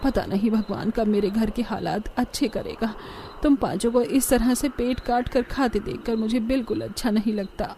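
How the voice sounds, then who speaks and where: A woman's voice: on the high side, normal speed, warm tone, a little hiss or hum, lightly acted. A woman voicing a caring, sorrowful elderly mother-in-law in a cartoon story, tender and lamenting.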